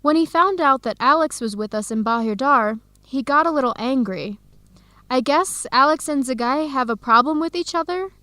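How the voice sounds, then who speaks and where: An actress playing an earnest young Ethiopian-student in a radio drama. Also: female voice, high voice, expressive, moderate pace, bright, forceful tone, no echo. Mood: explaining, defensive. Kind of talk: radio drama acting, simulated video call.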